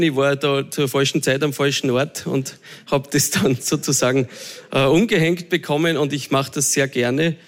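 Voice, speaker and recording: Hesitant Austrian choir director. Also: male voice, mid-range voice. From Salzburg, Austria. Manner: hesitant, with many fillers. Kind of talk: interview answers.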